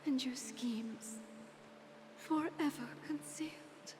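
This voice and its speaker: A sorrowful young mother, slow and high. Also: darkish tone. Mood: tender blessing. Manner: solemn, tender, breathy whispering at times. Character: sorrowful young mother blessing her child, praying to a goddess. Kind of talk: dramatic video game acting. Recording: long hall reverb, music behind.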